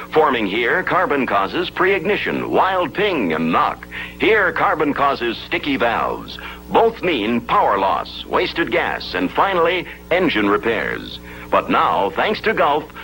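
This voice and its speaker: A man narrating a 1950s commercial in a crisp, persuasive announcer style. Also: medium pitch, bright, forceful tone, low-quality recording.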